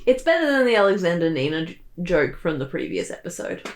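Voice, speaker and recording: A woman's voice: lowish voice, sing-song, fast, slight background noise. A woman co-commentating casually, with playful, dry reactions.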